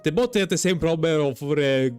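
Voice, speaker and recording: A man doing casual live gameplay commentary, halting and repeating words as he searches for phrasing. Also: a little high, expressive, clean tone. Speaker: game streamer. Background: faint game music.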